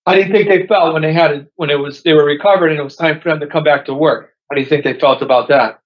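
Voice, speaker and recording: A man on a parole board, questioning patiently and deliberately. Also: a little high, fairly even intonation. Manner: measured, patient, asks repeated questions. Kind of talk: formal questioning. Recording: dull lo-fi call audio.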